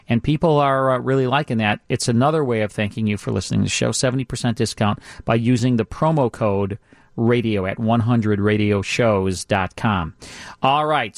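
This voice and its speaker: An American man hosts a radio show in a chatty, informal way. His voice sits at a middle pitch, recorded close and dry in a studio. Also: no room sound. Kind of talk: casual radio banter and show promos.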